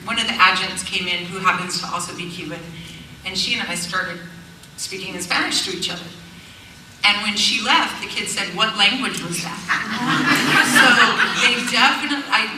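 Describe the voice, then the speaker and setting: Bright-voiced woman in an echoey hall. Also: medium pitch, a little hiss or hum. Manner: earnest, fillers and restarts. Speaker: panelist, immersion teacher. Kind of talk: panel talk. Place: event hall. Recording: echoey hall, far from mic.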